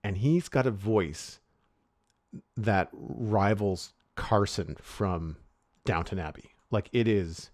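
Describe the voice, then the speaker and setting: A man co-hosts a podcast in a relaxed chat. His voice sits fairly low, and he rambles and restarts his sentences often. He is recorded dry and close to the mic. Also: slow pace, no room sound. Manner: rambling, many restarts and fillers. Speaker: podcast co-host.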